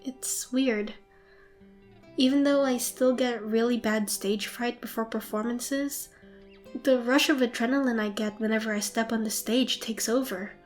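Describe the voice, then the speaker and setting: A young woman playing a shy, nervous, excitable theatre student in an ASMR roleplay, hesitant, flustered and apologetic. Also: fairly high pitch, measured pace, soft background music, lightly acted. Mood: wistful, earnest. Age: young adult. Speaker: amateur voice actor.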